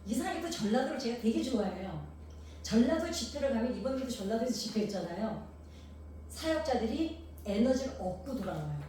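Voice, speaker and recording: A woman's voice: fairly high pitch, sing-song, a little fast, dark tone, a little hiss or hum. A middle-aged Korean woman with a Jeolla-tinged accent preaching a sermon, asking the congregation questions and telling homely stories. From Gangwon Province, South Korea. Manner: poses rhetorical questions to the congregation; homely, anecdotal storytelling. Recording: echoey hall from far off.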